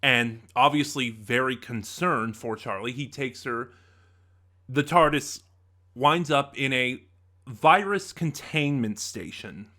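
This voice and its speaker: A man hosting an unscripted review channel, talking in a chatty, animated way with rambling asides. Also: medium pitch, slow pace. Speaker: podcast reviewer.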